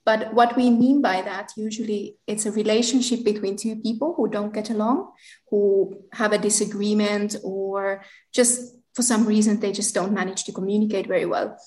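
A woman in her thirties with a Finnish accent, answering as a podcast guest in a measured, explanatory way. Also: mid-range voice, steady tone, a little mellow. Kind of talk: interview answers. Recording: echoey room with faint music.

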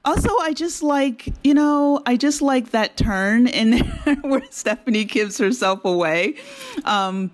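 A woman speaking as a writer in a casual interview, thoughtful and halting, often trailing off. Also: a little high. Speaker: audio drama writer, interview guest.

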